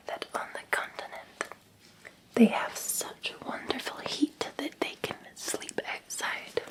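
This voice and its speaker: A Scottish woman playing a gentle, attentive Victorian housemaid in an ASMR roleplay, in a soft, breathy, soothing whisper with polite deference. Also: a little high, poor audio. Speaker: ASMR performer.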